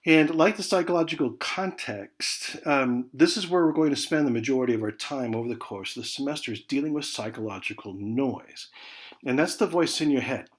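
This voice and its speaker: An American man with a mid-pitched voice teaches an online college class. He talks informally, as if explaining directly to students. He leans on important words, then lets his phrases drop away softly. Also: a little fast. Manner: conversational, emphatic key words trailing off into soft check-ins like "okay". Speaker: college communication instructor.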